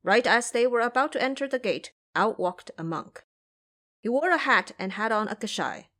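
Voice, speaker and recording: A woman narrating a scripted audio drama as a storyteller, with careful, expressive phrasing. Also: on the high side, normal speed.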